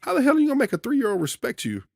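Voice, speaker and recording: A man in his thirties co-hosting a podcast, telling stories in a loud, commanding, emphatic way. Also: very high voice, wildly swooping pitch, very fast, gravelly, dry recording, studio quality. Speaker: podcast co-host. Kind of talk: casual podcast chat.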